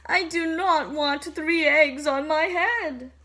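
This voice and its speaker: A woman's voice: high voice, takes it slowly, sharp tone, pitched above the performer's own voice, heavily acted. A woman doing a fretful worried elephant. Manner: fretful, exclaiming, anxious questions. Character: worried anxious male elephant. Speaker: storybook character.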